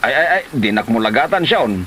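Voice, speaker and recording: A man's voice: mid-range voice, big pitch swings, fast, sharp tone, slight background noise. A man with an Ilocano Filipino accent playing a stubborn, stingy, defensive husband who bickers in a comedy drama. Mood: irritated, stubborn. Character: stubborn, stingy husband obsessed with his motorcycle.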